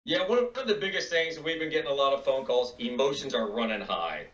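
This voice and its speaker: A man giving a reassuring, steady client update as a financial advisor. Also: medium pitch, brisk. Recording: roomy, distant, dull mic.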